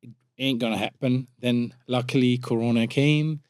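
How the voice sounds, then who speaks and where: A man's voice: mid-range voice, steady tone, moderate pace, darkish tone, clean tone, dry recording, clean studio sound. A man in his late thirties with a Danish accent, talking informally as a podcast guest and often repeating words as he forms his thoughts. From Denmark. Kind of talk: casual conversational interview.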